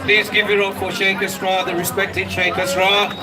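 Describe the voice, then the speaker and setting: A man hosting an outdoor protest rally, with a big loud voice. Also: high-pitched, completely flat delivery, moderate pace, heavy background noise. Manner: loud, self-described big voice, leads shouted chants. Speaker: rally host. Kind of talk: rally compering and chanting. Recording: open-air rally from far off, music and crowd.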